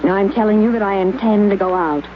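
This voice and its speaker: A woman's voice: mid-range voice, fast talker, a little hiss or hum, lightly acted. An actress playing a world-weary woman who mocks and then pleads, in 1950s radio drama style. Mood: pleading. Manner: teasing, mocking, then pleading. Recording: dull lo-fi old radio recording.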